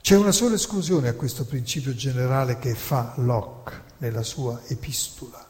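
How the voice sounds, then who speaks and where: A man's voice: medium pitch, a little mellow. Man narrating theatrically in a reverberant hall. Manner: rhetorical, deliberate, emphatic phrasing with dramatic pauses. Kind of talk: theatrical historical lecture monologue.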